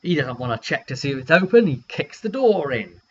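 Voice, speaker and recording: A man's voice: fairly high pitch, big pitch swings, fairly quick. A man casually telling the story of a roleplaying game session in a rambling, wry way, acting out short quotes as he goes. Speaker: game master recapping a tabletop session. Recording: dull lo-fi mic with hum.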